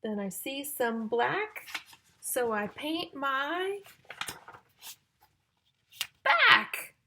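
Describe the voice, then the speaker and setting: A woman reading a picture book aloud to young children in a sing-song, exaggerated way, pausing to ask and explain like a teacher. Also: high voice, sing-song, background noise. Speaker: children's story-time reader and teacher.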